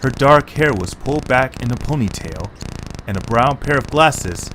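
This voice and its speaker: A man's voice: mid-range voice, expressive, normal speed, background noise. A man reading scripted narration for a fantasy storybook tale.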